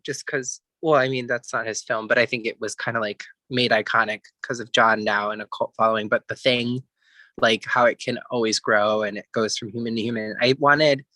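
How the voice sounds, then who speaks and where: Male guest musician, casual interview talk, bright crisp-edged tone, very dry sound, rambling and reflective delivery. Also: mid-range voice, no room sound. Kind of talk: casual chat, interview answers.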